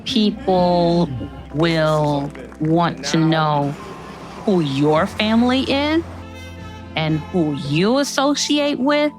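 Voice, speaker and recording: A woman speaks in slow, deliberate phrases. Her voice sits a little low, and faint music plays behind her. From Staten Island, New York. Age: 50s. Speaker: local resident interviewee. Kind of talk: documentary interview.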